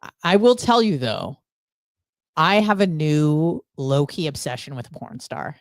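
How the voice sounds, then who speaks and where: Female podcast co-host, lowish and very lively pitch, blunt casual banter, dry close sound. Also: measured pace, no room sound. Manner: blunt, crude-humored, emphatic. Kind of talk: casual unscripted podcast chat.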